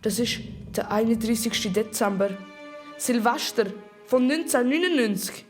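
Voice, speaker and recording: Female actor as anxious young boy, Swiss German accent, fairly high voice, muttering to himself, children's audio drama. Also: animated, normal speed, lightly acted. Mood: pondering. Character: anxious boy twin stranded alone in time. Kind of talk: children's radio play acting. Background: music.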